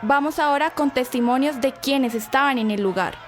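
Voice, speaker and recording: A woman's voice: high voice, moderate pace, slight background noise, natural voice. A woman playing a formal 1960s radio newsreader delivering an urgent breaking news bulletin in an audio drama. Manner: formal, announcing.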